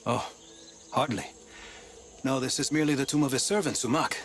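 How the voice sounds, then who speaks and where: A man's voice: medium pitch, fairly quick, close to an everyday voice. An actor playing a wry, scholarly male archaeologist in a game cutscene. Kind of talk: video game cutscene voice acting.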